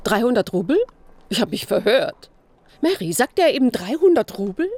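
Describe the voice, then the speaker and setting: A woman's voice: a little high, big pitch swings, normal speed, a little mellow. An actress playing an indignant, haggling middle-aged princess and mother in a radio drama. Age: 40s.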